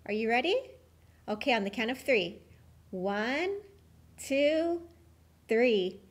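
A young woman with a high voice hosts a puppet show for small children. She speaks slowly and simply, coaxing and reassuring as she chats with the puppets. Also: animated. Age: young adult. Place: small classroom, indoors, seated at a table.

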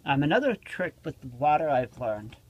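A man's voice: on the high side, big pitch swings, moderate pace, a little mellow, slightly rough, lo-fi sound. A man giving a casual, unscripted vlog tour, explaining things in a rambling, repetitive way on amateur handheld camera audio. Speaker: vlogger showing his motorhome. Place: small motorhome bathroom, indoors. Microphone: handheld camera or phone, held by speaker.

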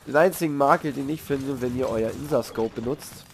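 A man's voice: mid-range voice, a little fast, slight background noise. A man who has a cold, casually reviewing a video game while he plays, rambling with fillers and slang asides. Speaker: gamer giving a review. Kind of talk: casual game commentary over gameplay.

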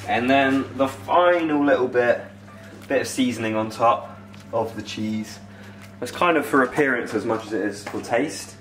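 A man's voice: mid-range voice, normal speed, fairly bright tone, low-quality recording. A British man in his twenties hosting a casual cooking tutorial in an informal, enthusiastic way from a home kitchen. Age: 20s. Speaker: cooking video host.

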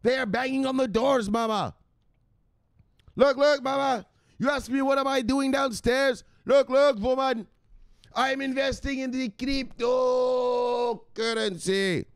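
A man voicing a frantic grown son who shouts excited pleas at his mother in broken phrasing. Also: very high voice, takes it slowly, creaky, dry recording, voice pushed up, exaggerated voice. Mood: frantic pleading.

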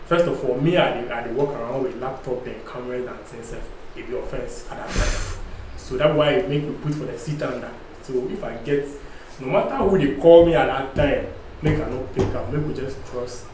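A man's voice: mid-range voice, brisk. Ghanaian man telling a road story. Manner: animated storyteller, acts out police voices. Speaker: podcast host telling a travel story. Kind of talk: casual storytelling in Pidgin-tinged English. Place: dark studio set. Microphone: boom-arm studio mic. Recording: echoey room with faint hum.

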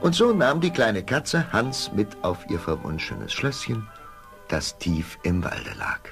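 A man narrating a scripted fairy tale for an audio play in a gravelly voice. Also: lowish voice, sing-song, slow pace, fairly bright tone, soft background music.

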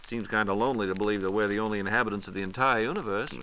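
A man's voice: on the low side, fairly even intonation, racing, pushed and bright, a little hiss or hum. An American man hosting a scripted 1940s radio program in a polite, orderly announcer's manner. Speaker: radio host. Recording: 1940s radio transcription disc.

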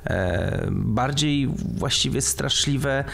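A man's voice: medium pitch, very slow, creaky, a bit bright. A man co-hosting a casual discussion about films. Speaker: co-host of a film discussion show.

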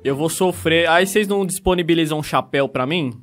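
High-voiced man making a prank call. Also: fast, faint music behind. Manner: provoking, mock-innocent. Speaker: prank caller.

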